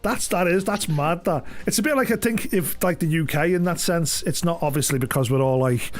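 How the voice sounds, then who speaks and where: High-pitched English man telling rambling stories. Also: fairly quick. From England. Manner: sweary, rambling storyteller. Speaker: game live streamer. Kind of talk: casual chat, animated anecdotes. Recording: music behind the voice. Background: music.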